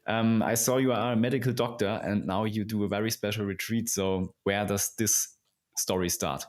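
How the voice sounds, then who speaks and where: A man's voice: fairly low pitch, steady tone, moderate pace. A man whose first language is German hosting a podcast in English with simple, repetitive phrasing. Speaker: podcast host. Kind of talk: podcast intro and interview questions.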